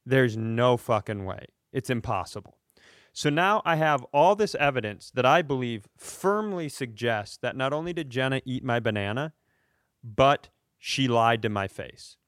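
A man co-hosting a comedy podcast, telling stories in casual banter with animated, mock-serious, sweary rants. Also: medium pitch, unhurried, dry recording, studio quality. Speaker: podcast co-host.

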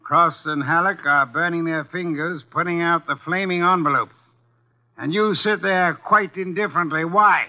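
An actor playing a fat, shrewd, commanding middle-aged detective with formal, precise diction in a 1950s radio drama. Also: male voice, fairly high pitch, moderate pace, natural voice. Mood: accusing, forceful. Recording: dull, lo-fi vintage radio recording.

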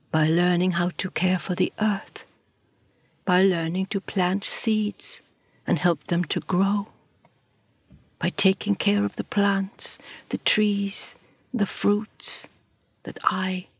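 A woman voicing a gentle earth mother spirit in a soft, hushed, almost whispered manner. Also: mid-range voice, fairly even intonation, takes it slowly, vocal fry, pauses often, breathy edge, no echo, full character voice.

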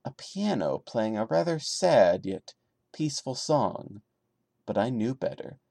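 A man's voice: medium pitch, very animated, measured pace, gravelly, warm tone, no echo. A man hosting a podcast, giving a dramatic reading broken up by sarcastic, exasperated asides. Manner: sarcastic, exasperated commentary breaking into the narration. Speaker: podcast host.

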